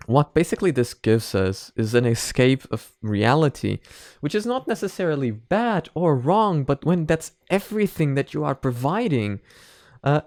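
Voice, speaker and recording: Young adult male vlogger, mid-pitched, opinionated and emphatic, talking off the cuff, close dry sound. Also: a little mellow, dry recording. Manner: opinionated, emphatic, mildly sweary. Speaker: reaction video host. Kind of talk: casual off-the-cuff opinion commentary.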